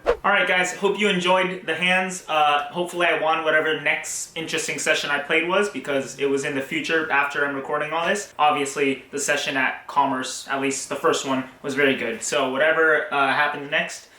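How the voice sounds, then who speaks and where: A man's voice: a little high, fairly even intonation, fairly quick, sharp tone, poor audio. A man in his twenties giving a casual, scripted poker vlog voice-over in a matter-of-fact, explanatory way. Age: 20s. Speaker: poker vlogger and host.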